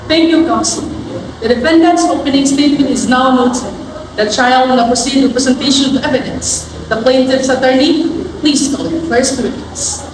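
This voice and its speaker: A woman acting as a composed female judge with a high voice and Filipino accent. She speaks formally in a courtroom play, heard across a very echoey, noisy hall. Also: moderate pace, close to an everyday voice. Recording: distant, echoey hall with noise.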